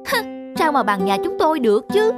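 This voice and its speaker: Woman playing a very high-voiced, vain father mouse. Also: very animated, fairly quick. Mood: boastful, astonished. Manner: hesitant, boastful, easily impressed. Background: loud children's music.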